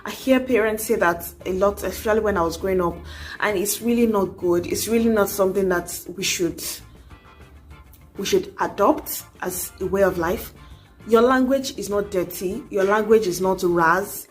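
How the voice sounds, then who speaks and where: A woman's voice: mid-range voice, moderate pace, faint music behind. A young adult woman, talking earnestly to camera in a casual advice vlog, urging and coaxing with many asides. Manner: earnest, exhorting, frequent "you know". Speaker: vlogger.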